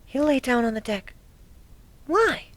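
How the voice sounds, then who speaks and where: A woman acts out a gentle, anxious young pegasus mare with a high, soft voice. She speaks hesitantly and can sink toward a whisper. It is a first-person dramatic reading of a cartoon fan story. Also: very animated, measured pace, dry recording, heavily acted. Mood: shy, curious. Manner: hesitant, soft-spoken, breaking into near whispers.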